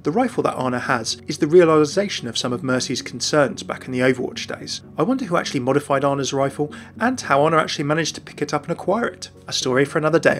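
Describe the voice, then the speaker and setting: A man's voice: on the high side, fairly bright tone. A man narrating a gaming lore video in a chatty, enthusiastic way. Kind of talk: scripted narration.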